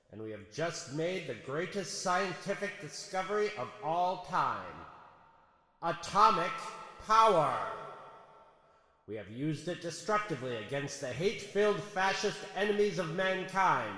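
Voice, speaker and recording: Echoey, high-pitched earnest scientist played by a man. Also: pushed and bright, exaggerated voice. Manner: declamatory, solemn. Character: earnest, authoritative male atomic scientist doctor. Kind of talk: pulp audio drama acting. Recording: echoey hall-like reverb.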